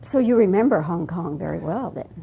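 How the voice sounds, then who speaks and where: Mellow-voiced woman asking interview questions. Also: fairly low pitch, expressive, a little fast, gravelly, slightly rough. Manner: friendly, conversational questioning. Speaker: television interviewer. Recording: faint background noise.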